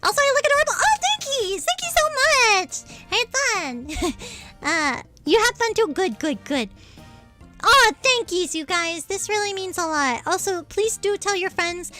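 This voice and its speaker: A woman streamer chatting casually with her livestream viewers in a bubbly, cutesy, expressive manner. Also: extremely high pitch, measured pace, faint music behind. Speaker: streamer, VTuber.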